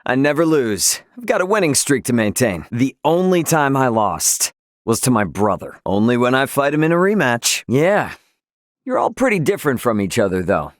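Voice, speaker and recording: A man's voice: medium pitch, big pitch swings, normal speed, dry recording, clean studio sound, close to an everyday voice. A man voicing a brash, good-natured young starship captain in a video game, with a breezy, slangy, informal delivery. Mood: breezy, boastful. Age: young adult. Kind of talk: English game dub dialogue, casual and expressive.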